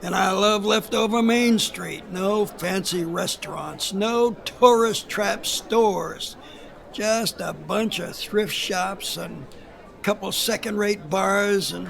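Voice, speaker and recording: A rambling 85-year-old police chief from a radio drama. His voice is high and rough with fry, and he drawls through his story at an unhurried pace, repeating words for emphasis. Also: fairly bright tone, exaggerated voice.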